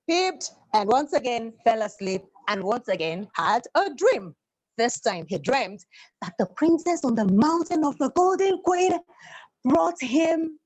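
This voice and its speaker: A woman's voice: sits high, low-quality recording. A woman telling a children's story in a theatrical way, repeating words for effect and putting questions to her listeners.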